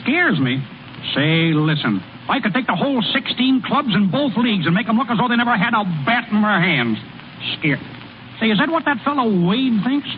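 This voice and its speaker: A swaggering country ballplayer, stubborn and boastful. His voice sits high and swoops freely, in classic radio comedy style on a noisy old recording. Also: sing-song, moderate pace, narrow, tinny audio. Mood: defiant, boastful. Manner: boastful, rustic ungrammatical drawl. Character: cocky, folksy small-town ballplayer, big eater, stubborn. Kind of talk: 1930s-40s radio comedy acting.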